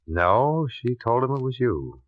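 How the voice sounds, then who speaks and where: A man's voice: medium pitch, very animated, unhurried. A man playing a blunt, dogged insurance investigator in a 1950s radio detective drama, speaking in a clipped, pointed way. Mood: probing, pointed. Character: dogged, blunt male insurance investigator narrating his case. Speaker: lead actor and narrator. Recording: dull lo-fi old radio recording.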